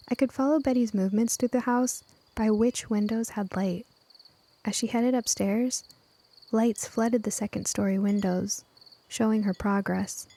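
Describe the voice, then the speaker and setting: A woman's voice: medium pitch, gravelly, mellow. A woman reading scripted first-person story narration as an audiobook narrator.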